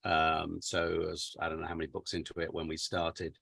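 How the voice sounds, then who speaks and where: A man with an English accent, an author guest chatting casually on a podcast, relaxed and laughing easily. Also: low voice, moderate pace, gravelly. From England.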